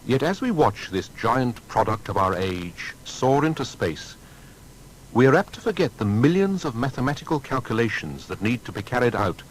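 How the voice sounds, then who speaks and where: A man narrating a scripted biography in a measured, formal and dramatic way. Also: rough recording. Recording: faint background rumble and noise.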